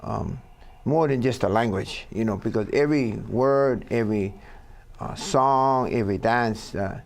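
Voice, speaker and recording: A man from Hawaii, talking in a casual studio chat and pausing often on "uh" in a plain, pidgin-tinged way. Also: mid-range voice, slight background noise. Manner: halting, many "uh" fillers, plain pidgin-tinged phrasing. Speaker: foundation founder, co-host.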